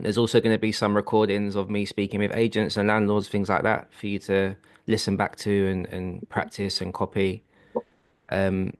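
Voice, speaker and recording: A man with a very low voice explains his method to clients on a video call. He sounds relaxed and reassuring and keeps a steady pace. The sound is close and dry. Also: no room sound. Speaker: property business coach.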